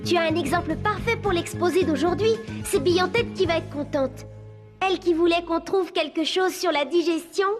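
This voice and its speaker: A woman's voice: extremely high pitch, moderate pace, over music. An actress voicing a studious, earnest little schoolgirl in a French cartoon dub, keen and prim. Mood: enthusiastic.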